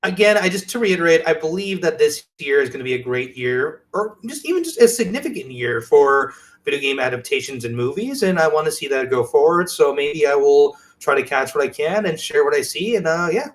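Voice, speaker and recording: Higher-pitched man chatting casually. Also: animated, fairly quick, few pauses. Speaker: podcast co-host. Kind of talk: casual chat about video games.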